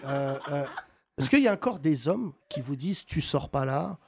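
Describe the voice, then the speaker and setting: A man's voice: on the high side, lively intonation, moderate pace, vocal fry, crowd noise. A man hosting improvised comedy, questioning audience members with teasing, mock-serious banter. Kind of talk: improvised crowd-work banter.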